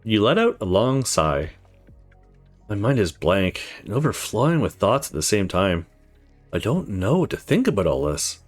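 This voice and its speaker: A man's voice: on the low side, sing-song, normal speed, soft background music. A man reading aloud as a brooding, introspective young visual novel protagonist, reflective and earnest. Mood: reflective, tender.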